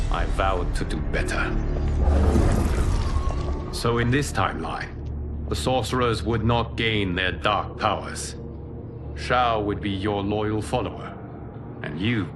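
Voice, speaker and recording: An actor playing a humble former god in a video game, speaking in a formal, measured, earnest way. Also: male voice, lowish voice. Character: solemn, humble former god and protector of Earth. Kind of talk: dramatic video game cutscene acting.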